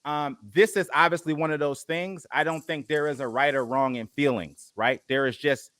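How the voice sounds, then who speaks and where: Casual male co-host, bright forward voice, dry close sound, conversational and easygoing. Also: a little high, fairly even intonation, moderate pace, no room sound. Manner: conversational, laid-back, repeats "you know what I mean". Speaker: podcast co-host; married partner of co-host. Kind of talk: casual reality TV review chat.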